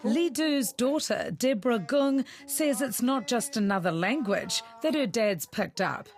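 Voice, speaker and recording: A woman reporter reading a scripted news voice-over with an even, clear delivery. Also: a little high, measured pace. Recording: music bed under voice-over.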